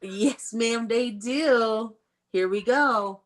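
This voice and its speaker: A woman with an American accent and a fairly high voice leads an elementary read-aloud lesson on a video call. She is encouraging, prompts with questions and praises the answers. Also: drawn-out words. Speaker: elementary school teacher.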